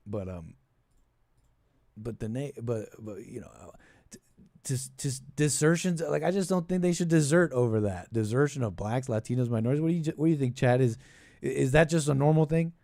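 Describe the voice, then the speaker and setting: A man hosting a casual call-in show, thinking aloud in a halting, repetitive, meandering way. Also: medium pitch, animated, warm tone, dry recording, studio quality. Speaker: podcast and call-in show host. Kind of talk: rambling casual political commentary.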